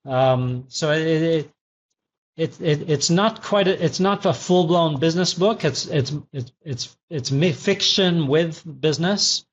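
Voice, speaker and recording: A man's voice: a little high, a little slow. A man in his fifties, a former tech CEO now an executive coach, answering interview questions in a thoughtful, teacherly way with frequent restarts. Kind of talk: interview answers, reflective storytelling. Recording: slightly dull lo-fi audio.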